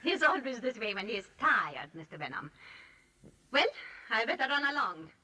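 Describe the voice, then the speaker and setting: A woman's voice: fairly high pitch, sing-song, normal speed, bright, forceful tone, far from the mic, full character voice. An actress playing a domineering, vengeful woman ballet choreographer in 1940s radio style, imperious and taunting. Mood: cool taunting.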